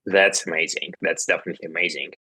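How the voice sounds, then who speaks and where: Deep husky-voiced eager male host. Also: fairly even intonation, quick pace, pushed and bright, no room sound, low-quality recording. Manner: eager, repeats basically and definitely. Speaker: podcast host. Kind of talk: interview questions.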